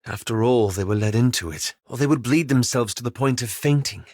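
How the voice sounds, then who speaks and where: Casting: male actor as weary elf adventurer for a video game; medium-pitched, articulate, reserved, slightly hesitant, close dry sound. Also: darkish tone, no echo, lightly acted. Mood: earnest, reflective. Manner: measured, formal, articulate, hesitant. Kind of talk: video game character dialogue.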